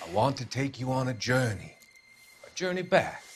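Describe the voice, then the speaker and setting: A male actor plays a psychiatrist in a dramatic game scene, a man of quiet authority. He speaks formally and deliberately in a mid-pitched voice with very varied intonation. Music plays clearly behind him. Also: big pitch swings, natural voice. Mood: soothing, coaxing. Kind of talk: dramatic video game cutscene acting.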